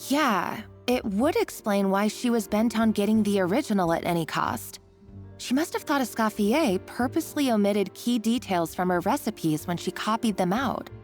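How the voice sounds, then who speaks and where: A woman's voice: mid-range voice, lively intonation, normal speed, darkish tone, faint music behind, natural voice. A woman playing a timid, guilt-ridden young chef in a video game, speaking hesitantly and apologetically. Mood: earnest, subdued.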